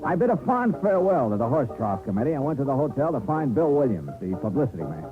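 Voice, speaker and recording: Man acting a wry radio private eye, animated intonation. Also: medium pitch, quick pace, close to an everyday voice. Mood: wry, matter-of-fact. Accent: American. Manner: wry, dry first-person narration. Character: wry, easygoing male private investigator. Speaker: narrator and lead actor. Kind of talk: 1950s radio detective acting. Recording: old tinny radio recording, music behind.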